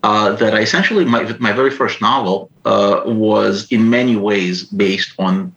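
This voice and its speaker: A middle-aged man from Ukraine, raised speaking Russian, with a fairly low voice. He answers interview questions thoughtfully, pausing on frequent "uh"s and repeating words as he works through his ideas. His audio comes through a dull, muffled lo-fi connection. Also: a bit bright. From Ukraine, raised in the Soviet Union. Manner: thoughtful, hesitant, many uh fillers and repeats. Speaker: podcast guest, writer.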